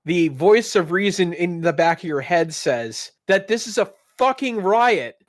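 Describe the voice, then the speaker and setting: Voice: mid-range voice, lively intonation, a little slow, dry recording, studio quality. A game master improvising tabletop narration and casual banter in a teasing, profane, dramatic way of phrasing.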